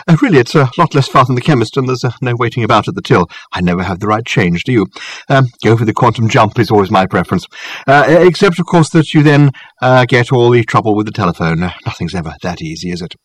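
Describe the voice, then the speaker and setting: A man voicing an eccentric, amiable elderly academic in an audiobook, rambling and halting with many hesitant ums. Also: mid-range voice, lively intonation, husky, no echo. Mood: cheerful, airy. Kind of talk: audiobook character acting.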